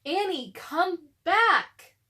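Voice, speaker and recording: A woman's voice: very high-pitched, sing-song, very slow, sharp tone, pitched above the performer's own voice, exaggerated voice. A woman voicing a sensible, skeptical eight-year-old boy, an exasperated big brother in a children's storybook. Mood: worried urging. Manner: skeptical, calling out.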